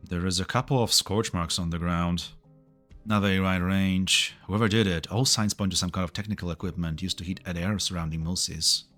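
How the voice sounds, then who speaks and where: Man playing an earnest low-voiced tech specialist. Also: steady tone, brisk, a bit bright, faint music behind. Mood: analytical. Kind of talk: scripted anime game dub acting.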